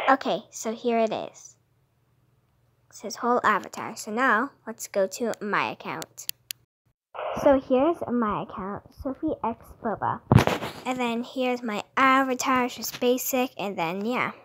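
A woman's voice: on the high side, expressive, takes it slowly, pauses often. A woman hosting a casual gaming video, chatting as she plays. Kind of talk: casual gameplay chat. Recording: noisy home recording with clicks.

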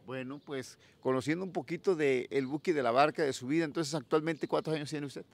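A Mexican man hosting a casual street interview in a town plaza, friendly and sending shout-outs to viewers, holding a handheld mic. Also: fairly high pitch, a little fast. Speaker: interviewer, channel host. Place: town plaza outdoors at dawn.

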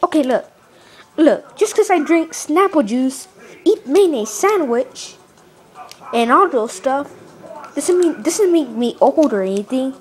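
A woman's voice: high voice, expressive, a little slow, darkish tone. A woman voicing a fussy, exasperated video game character who protests and repeats himself in an improvised skit. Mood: indignant.

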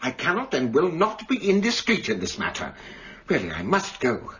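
An actor playing a fretful, politely indignant elderly English maths teacher in a radio drama, speaking formally and hesitantly. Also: male voice, on the high side, huge pitch swings, moderate pace, a bit bright, husky, highs cut off, full character voice. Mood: reticent, flustered.

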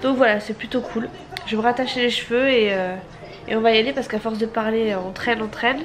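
A woman's voice: on the high side, fairly even intonation, brisk, noisy background. A woman in her thirties with a stuffy nose from a cold, chatting to a handheld camera at home in a rambling way. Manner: chatty, rambling, many restarts and fillers. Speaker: family vlogger. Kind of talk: casual vlog chat to camera. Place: apartment, indoors. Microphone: handheld camera at arm's length.

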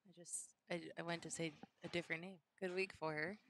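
Female bowling color commentator, chatty and laughing, bright edge to the voice, faint hiss behind. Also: lowish voice, little pitch movement, fairly quick. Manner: chatty, giggly, easily sidetracked. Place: commentary booth at a bowling center.

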